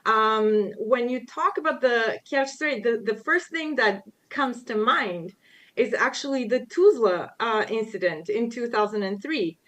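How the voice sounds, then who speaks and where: Slow-paced woman lecturer, fairly high voice. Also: animated, fairly bright tone. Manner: measured, with frequent pauses and fillers. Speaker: guest lecturer answering audience questions. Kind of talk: public lecture Q&A. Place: public library event room.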